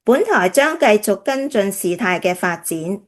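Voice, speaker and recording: A woman playing a formal, measured news anchor reading a bulletin. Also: medium pitch, sing-song. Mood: formal, serious. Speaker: radio drama actor.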